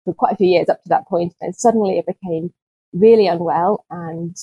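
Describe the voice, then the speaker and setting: A woman guest on a nature podcast, answering in a gentle, unhurried way with small restarts and soft laughs, sounding a little low on energy. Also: breathy edge, dry recording, lo-fi sound. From UK, not Scotland. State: chronically ill, speaks with low energy. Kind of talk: conversational interview answers.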